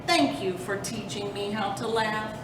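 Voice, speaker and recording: A woman's voice: steady tone, measured pace, close to an everyday voice. Woman playing a wailing, melodramatic grumpy old bear. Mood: amazed, pleading. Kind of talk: children's storybook read aloud. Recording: roomy, distant mic with rumbling noise.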